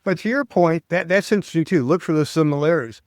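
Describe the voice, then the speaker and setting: Animated older man telling travel stories. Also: fairly high pitch, fast, no room sound, studio quality. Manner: animated storyteller, acts out quoted voices. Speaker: guest, public speaking coach. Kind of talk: casual interview chat.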